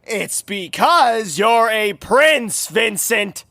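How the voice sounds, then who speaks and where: A man's voice: sits high, expressive, extremely slow, creaky, bright, forceful tone, no echo, full character voice. A man playing an exasperated, lovestruck young nobleman in a fantasy audio drama. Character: young nobleman, exasperated, secretly smitten, fearful of his father.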